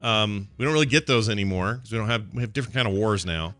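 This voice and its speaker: Bright-voiced man, low and dry. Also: fast, no room sound, faint music behind. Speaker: podcast co-host. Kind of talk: casual political chat.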